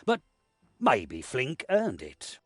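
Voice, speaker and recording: An earnest, commanding fantasy-game hero. The mid-pitched voice is husky and swings dramatically, with music behind it. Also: male voice, huge pitch swings, extremely slow, dry recording, exaggerated voice. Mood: solemn. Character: earnest, commanding young-hearted hero leading against orcs. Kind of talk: fantasy video game voice acting.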